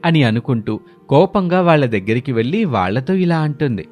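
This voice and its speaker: Male story narrator, mid pitch, scripted moral tale delivery, light music bed. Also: very animated, fairly quick, a little mellow.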